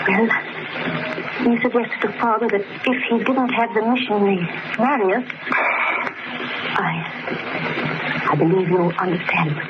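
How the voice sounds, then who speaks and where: A woman acts a long-suffering young wife in a vintage radio melodrama. She speaks in an earnest, formal, pleading way at a medium pitch. The recording is muffled and very noisy. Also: natural voice. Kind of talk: old-time radio drama acting.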